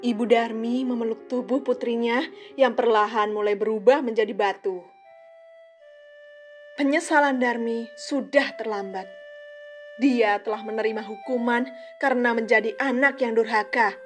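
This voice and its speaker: A woman narrating a children's folk tale in a calm, clear storytelling manner. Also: a little high, normal speed, soft background music.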